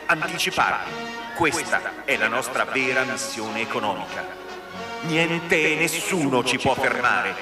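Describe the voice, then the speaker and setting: An actor playing the bombastic narrator of a triumphant company promotional film, declaiming slogans in a grandiose manner. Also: male voice, medium pitch, natural voice. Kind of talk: scripted promotional narration in radio drama. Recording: roomy echoing sound over music. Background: music.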